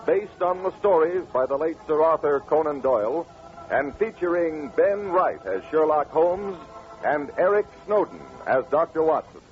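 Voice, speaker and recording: A man's voice: a little high, lively intonation, normal speed, faint music behind. A man announcing and hosting in a scripted 1940s radio style, with a polished, salesmanlike delivery. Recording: muffled old radio recording.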